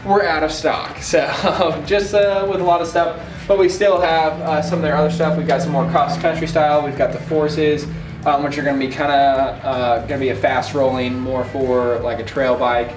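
Young man, roomy echo, higher-pitched voice. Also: little pitch movement, moderate pace. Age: 20s. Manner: laid-back, slangy, enthusiastic. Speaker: bike shop staffer giving a tour. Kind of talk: casual product walk-through to camera. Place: indoors in a bike shop. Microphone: camera a few feet away. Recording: roomy echo, distant mic, music behind.

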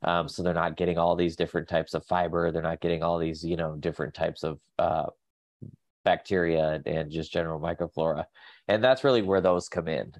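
A man's voice: low-pitched, fairly even intonation, fairly quick, no echo. A man in his thirties with a nasal voice and a cold, rambling with many fillers as a guest on a casual video call. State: sick with a cold. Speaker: guest, pet nutrition company staff. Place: home office.

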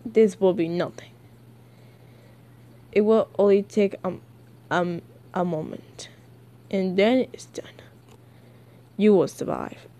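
A woman voicing a calm, coaxing, sinister villain in a comic dub, speaking softly and haltingly. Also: medium pitch.

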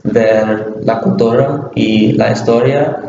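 Halting young man on a roomy webcam. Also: mid-range voice, fairly even intonation, noisy background. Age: young adult. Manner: halting, slow, full of pauses and restarts. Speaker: university student. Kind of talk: scripted class conversation in a learned language. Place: small bare room indoors. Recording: roomy, dull webcam audio.

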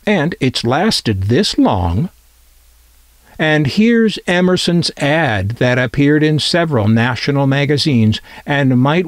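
A man's voice: medium pitch, no room sound. A man presenting a collector's item in informal scripted narration, with a wry, dryly humorous manner. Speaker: presenter of a vintage radio collecting channel.

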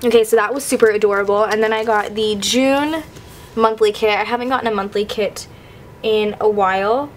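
A young woman chats eagerly through a tabletop haul, piling on fillers and gushing over every item. Her voice is a little worn from a recent illness, set at a middle pitch. A faint noise sits under the recording. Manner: gushing, fast filler-heavy enthusiasm. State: recovering from illness, losing her voice. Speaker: planner sticker haul vlogger. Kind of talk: casual haul show-and-tell. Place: indoors at a table, hands-only overhead camera.

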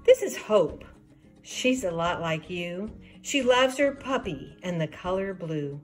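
An older American woman hosting storytime for children, expressive and sing-song as she talks and reads aloud. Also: lowish voice, slow, faint music behind. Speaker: children's storytime host and reader. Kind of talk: reading a rhyming picture book aloud to children.

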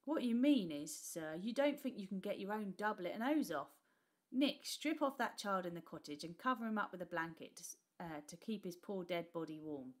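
A woman doing a rough, mocking old widow. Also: medium pitch, expressive, moderate pace, lightly acted. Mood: scornful. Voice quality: coarse, scoffing delivery with dropped sounds. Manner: brash and teasing, talks rough. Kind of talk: storybook read aloud.

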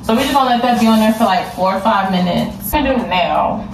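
A woman's voice: mid-range voice, animated, quick pace, background noise. A young woman in her twenties chatting casually to viewers while she cooks in her home kitchen, filmed by a camera across the room. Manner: chatty, talks straight to viewers. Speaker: cooking vlogger. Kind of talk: casual cook-along chat. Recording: echoey kitchen, distant mic, clattering pans. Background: dishes and pots clattering.

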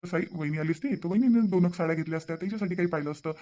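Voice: mid-range voice, rapid-fire, warm tone, close to an everyday voice. Voice actor doing a cheerful young son. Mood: reproachful. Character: easygoing young adult son. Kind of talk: cartoon voice acting.

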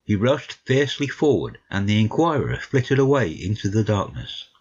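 Male audiobook narrator, deep and husky, expressive scripted reading, somewhat lo-fi sound. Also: normal speed.

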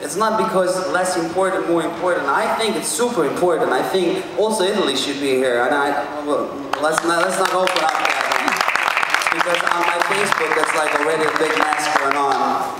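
A man in his thirties from Albania talking casually and enthusiastically as a musician on a press panel, in a rambling way. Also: on the high side. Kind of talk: casual, informal press conference talk. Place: large press conference stage, indoors. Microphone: handheld PA mic, picked up by a distant camera. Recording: echoey hall from far off, noisy.

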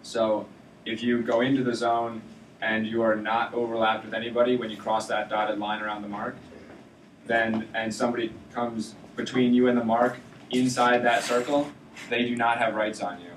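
A young man explaining sailing rules to his college team in a steady manner, with some restarts. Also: medium pitch, normal speed, a little hiss or hum. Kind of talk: informal lecture to a student sailing team. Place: lecture classroom. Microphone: far camera in the room. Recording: roomy classroom from far off.

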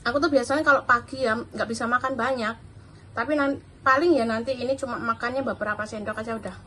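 Bright high-voiced chatty Javanese woman. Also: steady tone, fast, a little hiss or hum. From Java, Indonesia. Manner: chatty, laughs often, mimics others' voices. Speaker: domestic worker vlogger. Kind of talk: casual chat to camera while eating breakfast. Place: large bright kitchen at a counter, indoors. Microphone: phone camera on the table.

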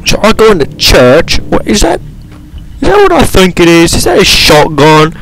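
A man's voice: sits high, wildly swooping pitch, normal speed, fairly bright tone, a little hiss or hum, poor audio. A man gaming and commentating in a hammy fake British accent. He swears a lot and rambles as he plays. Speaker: gamer. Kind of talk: gameplay commentary. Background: game music and gunfire.

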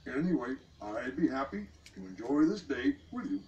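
A man voicing a calm, kindly, wise frog in a storybook, reassuring and unhurried. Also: medium pitch, muffled recording, slight background noise, natural voice. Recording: distant mic with music behind.

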